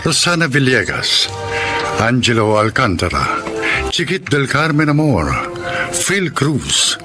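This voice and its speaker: A man's voice: medium pitch, big pitch swings, slow, music in the background. A man announcing credits in a scripted radio drama style.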